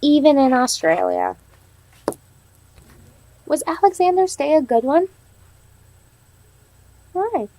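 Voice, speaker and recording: A woman reading aloud to a class as a teacher, pausing to ask questions and affirm answers. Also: high-pitched, huge pitch swings, mellow, low-quality recording. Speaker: elementary teacher practicing a read-aloud lesson.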